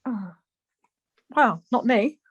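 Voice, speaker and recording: A woman acting a chirpy, stubborn wife who is quick to retort, in a naturalistic radio play. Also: fairly high pitch, lively intonation, slightly rough, no room sound, highs cut off, heavily acted. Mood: indignant, huffy. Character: Margaret, bright, stubborn, easily huffy wife in lockdown.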